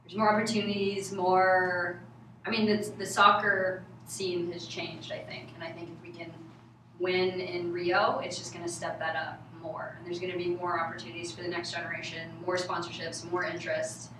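A woman's voice: lowish voice, flat delivery, normal speed, a bit bright. Earnest woman guest in an echoey room. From Canada. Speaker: soccer player guest. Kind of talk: casual interview chat. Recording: echoey room, distant mic, faint noise.

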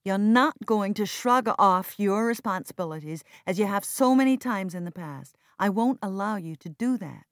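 A woman's voice: mid-range voice, animated, moderate pace, few pauses, no room sound, studio quality, voice pushed up, close to an everyday voice. A woman narrator playing an earnest, strong-willed and loyal woman in mourning, emphatic and reproachful, in an audiobook drama. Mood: firm, reproachful.

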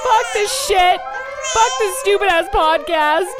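A woman's voice: extremely high pitch, expressive, slow pace, heavy background noise. A woman's prerecorded correspondent report in a synthetic voice, ranting in exasperation with swearing and mock sobbing. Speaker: remote correspondent.

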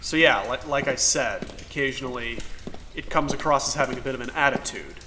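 A man playing a skeptical, defensive police officer in a cyberpunk audio drama, with a halting, dismissive way of talking. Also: medium pitch, noisy background, natural voice. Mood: guarded, dry. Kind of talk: naturalistic audio drama acting.

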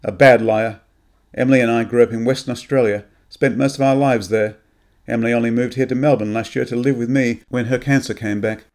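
A man's voice: mid-range voice, steady tone, a little fast, darkish tone, close to an everyday voice. A man voicing a grieving woman who questions a stranger abruptly and fiercely. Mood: fierce interrogating. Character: stern grieving woman, sharp and probing.

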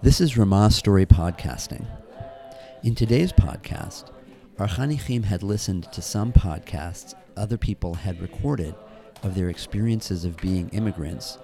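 A man's voice: low-pitched, dark tone. A man hosting a camp podcast, reading scripted narration between segments. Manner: reads from a script.

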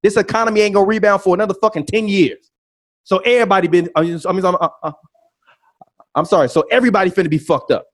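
Brief: man, 30, high-pitched, profane ranting co-host who keeps repeating himself. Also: steady tone, fast talker. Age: 30s. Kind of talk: heated casual debate.

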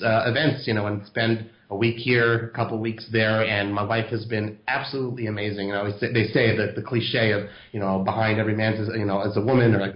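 An American man answering interview questions casually, hesitant and full of fillers and restarts. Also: on the low side. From United States. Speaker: interview guest. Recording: roomy, muffled sound.

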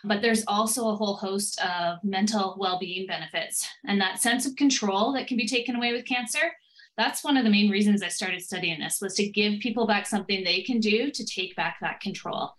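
A woman's voice: mid-range voice, brisk, fairly bright tone, low-quality recording. Confident woman explaining research. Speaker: professor and guest expert. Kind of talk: expert explaining in an interview.

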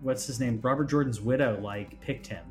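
A man's voice: mid-range voice, moderate pace, faint music behind. A man talking casually as a podcast host, rambling and often restarting his phrases. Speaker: podcast host on a gaming news show. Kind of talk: casual conversational chat.

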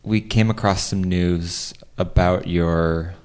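A man playing an earnest foodie father in improvised radio theater, breaking news in a halting, awkward way. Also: sits low, fairly even intonation, darkish tone, smooth. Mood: awkward, hesitant.

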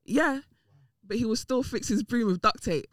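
A woman's voice: on the high side, normal speed. Candid woman chatting on a podcast. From Dominican and Nigerian heritage. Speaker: podcast guest. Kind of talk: casual podcast discussion.

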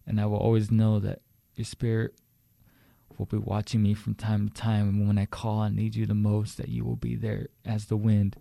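A man performing a solemn spoken-word poem in a slow, declamatory way. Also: lowish voice, completely flat delivery, creaky, warm tone, dry recording. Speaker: poet.

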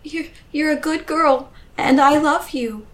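A woman's voice: on the high side, slow pace, voice pushed up. A woman playing an anguished young woman who answers voices in her head in a pleading, defensive, tender way. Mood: tearful, tender.

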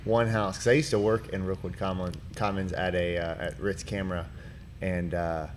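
Low-pitched American male podcast host, faint noise. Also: level tone, moderate pace. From Cincinnati, Ohio, United States. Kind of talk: casual conversational interviewing.

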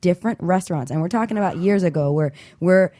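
A woman's voice: lowish voice, quick pace, mellow, no room sound. A woman co-hosting a casual motivational talk show, making her points emphatically and repeating them for effect.

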